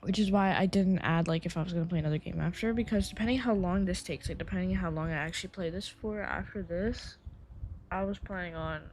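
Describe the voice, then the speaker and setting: Tired-sounding woman streamer, low voice. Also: fast talker, slight background noise. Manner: relaxed, rambling, chatty. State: tired, slightly scratchy voice. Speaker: game streamer. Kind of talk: casual live-stream chat.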